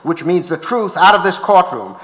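A man's voice: sits high, sing-song, normal speed, fairly bright tone. A man playing a defiant, indignant old activist on trial in a staged reading of a court transcript. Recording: reverberant auditorium.

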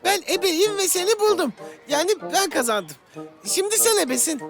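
A woman voicing a stubborn, excitable child in a children's cartoon who is scared but bluffs bravery. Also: very high voice, moderate pace, loud music behind the voice, full character voice. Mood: defensive, bluffing. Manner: defensive, excitable. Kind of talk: animated children's cartoon acting.

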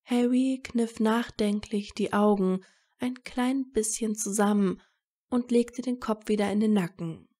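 A woman's voice: mid-range voice, fairly even intonation, measured pace, dark tone. A woman narrating a scripted fan fiction story as a storyteller.

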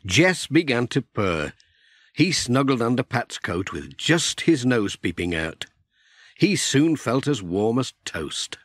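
Slow, lively male children's storyteller. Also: mid-range voice, dry recording, clean studio sound. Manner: expressive storytelling delivery. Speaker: audiobook narrator. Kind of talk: scripted children's story narration.

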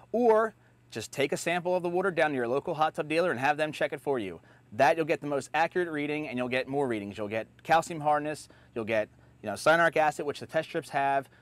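A man in his thirties hosting an unscripted how-to, talking step by step in an upbeat, plain way while working in a backyard. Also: mid-range voice. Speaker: host. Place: backyard outdoors beside a hot tub.

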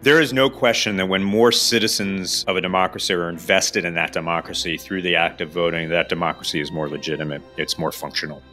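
Deep, bright-voiced man. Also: a little fast, few pauses, faint music behind. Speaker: nonprofit director, expert guest. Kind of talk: formal interview commentary.

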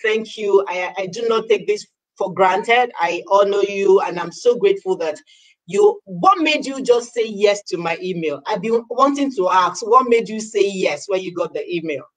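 A woman's voice: mid-range voice, moderate pace, poor audio. A young adult woman from Nigeria, hosting a live interview show over a video call, effusive and full of thanks. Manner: effusive, grateful, animated. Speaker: host. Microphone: laptop webcam on a video call.

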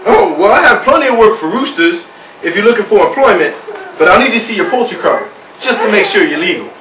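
A man's voice: high voice, animated, fast talker, fairly bright tone. A man playing a friendly, easygoing farmer in an amateur puppet show, with a folksy, helpful manner. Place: indoor room, puppet stage, far camera. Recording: roomy, distant, noisy camera audio.

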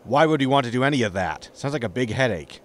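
A man's voice: medium pitch, lively intonation, fast talker, close to an everyday voice. A man playing a sarcastic, casual, grumbling spaceship captain in a sci-fi comedy audio drama. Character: flippant, grumbling male starship captain.

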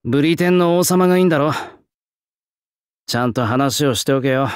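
An actor playing a proud young male swordsmith spirit in an anime-style game, talking in a rough, blunt, old-fashioned working-class way. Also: fairly high pitch, a little fast, dry recording, studio quality, close to an everyday voice. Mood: gruff, concerned. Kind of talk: anime-style mobile game character lines.

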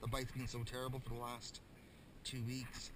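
A man's voice: mid-range voice, completely flat delivery, normal speed. A Canadian man chatting casually while fishing, with folksy mild exclamations and frequent "eh" tags. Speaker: ice fisherman filming a fishing vlog. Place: small ice fishing tent on a frozen lake. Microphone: body-worn or propped action camera. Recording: noisy action camera inside a tent.

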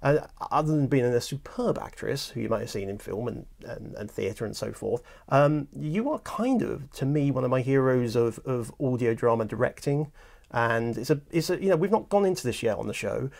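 A British man in his thirties hosting a casual chat in a small studio, talking with animated gestures and quick laughs, on a lapel mic. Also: mid-range voice, creaky. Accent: British English. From England. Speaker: host, audiobook producer. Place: small indoor studio set.

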